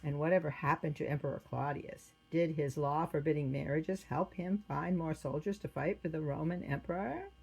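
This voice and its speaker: A woman's voice: sits low, steady tone, normal speed, vocal fry, hardly any pauses, darkish tone, breathy edge, poor audio. A woman reading a children's religious story aloud as its narrator.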